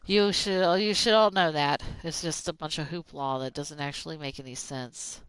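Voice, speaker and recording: A streamer from Oklahoma with a Southern accent, rambling in fast, casual talk with plenty of fillers while playing a game. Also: mid-range voice, fairly even intonation, a bit bright, clean tone, dry recording, poor audio. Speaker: gaming streamer.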